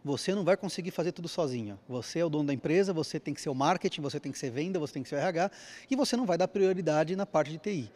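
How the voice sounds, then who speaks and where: A man in his forties answers an interviewer on a handheld mic inside a large event hall. His voice sits fairly high and he talks fast. He explains steadily, often tagging his points with a quick "né?". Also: animated, a little mellow. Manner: explanatory, rapid, repeats "né?". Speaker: IT security company speaker.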